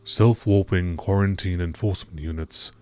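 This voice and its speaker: A man reading scripted science-fiction lore in the measured, informative style of a nature documentary narrator. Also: sits low, steady tone, warm tone.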